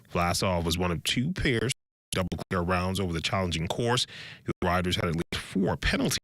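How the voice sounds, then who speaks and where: A man reading scripted sports news as a television presenter, in measured, formal phrasing. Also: low-pitched, a bit bright, no room sound.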